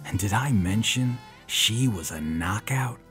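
Low, dry hardboiled detective. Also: male voice, expressive, over music. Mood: dry, world-weary. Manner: dry, wry, unhurried. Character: world-weary tough male private detective with gravity magic. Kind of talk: hardboiled noir audio drama narration.

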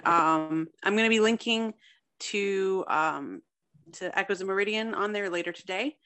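A woman's voice: mid-range voice, normal speed, sharp tone, clean tone. A young woman chatting casually as a guest writer in an interview, with a self-deprecating, talkative manner. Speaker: guest, fiction writer and contest winner.

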